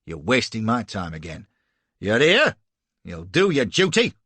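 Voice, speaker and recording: A man's voice: fairly low pitch, wildly swooping pitch, a little slow, husky, no room sound, exaggerated voice. A man voicing a menacing sea captain. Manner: quietly threatening, clipped and commanding. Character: cold, menacing middle-aged male slave-ship captain. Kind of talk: audiobook character voice.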